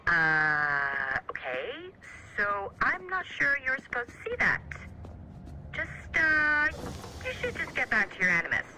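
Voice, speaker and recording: An actor playing a nervy, chatty office IT technician, talking informally and a bit wryly in video game dialogue. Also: male voice, high voice, huge pitch swings, takes it slowly, background noise, heavily acted. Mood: nervous, conspiratorial. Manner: hesitant, informal, wry. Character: nervy, chatty male office IT tech, snoopy and sheepish. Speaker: IT technician coworker. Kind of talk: game dialogue, casual guidance.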